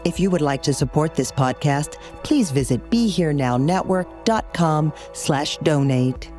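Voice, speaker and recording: A woman delivers scripted introductory narration for a podcast. Her voice is low and soft-edged in tone, with music playing faintly behind her. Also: lively intonation, a little slow. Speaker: podcast announcer.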